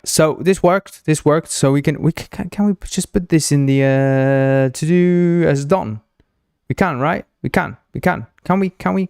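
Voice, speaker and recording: A man's voice: a little high, gravelly, darkish tone, no room sound, clean studio sound. A man whose first language is Spanish, hosting a casual live coding stream, thinking aloud, repeating himself and playfully teasing his chat. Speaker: game developer streaming live.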